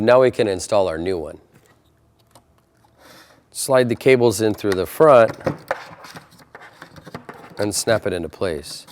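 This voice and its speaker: A man's voice: medium pitch, slight background noise. A man presenting an installation tutorial in a methodical, plainspoken way, explaining each step in turn. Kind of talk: step-by-step instructional narration.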